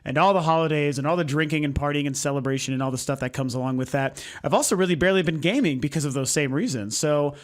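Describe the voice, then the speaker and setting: Hoarse young man rambling about games. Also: fairly high pitch, fast talker, few pauses. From United States. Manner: rambling, chatty, frequent ums and uhs. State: hoarse, losing his voice. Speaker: video game vlogger, channel host. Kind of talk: casual opinionated vlog talk. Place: home recording setup.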